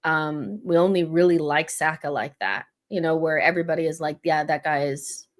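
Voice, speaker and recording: A woman's voice: low voice, steady tone, moderate pace. A woman hosting a casual football chat, blunt and pessimistic. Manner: blunt, pessimistic fan venting. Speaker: host.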